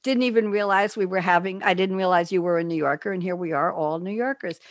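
A woman's voice: on the low side, fast, no room sound, studio quality. An older woman with a New York accent, hosting a radio talk show and telling rambling stories with frequent laughter. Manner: chatty, rambling storyteller, laughs often. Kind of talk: casual chat with guests.

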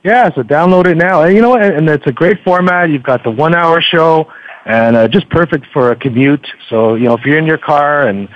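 A man who is a podcaster, chatting casually as an interview guest in a halting, affable way. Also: on the high side. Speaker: podcaster guest plugging his show. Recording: muffled internet radio connection.

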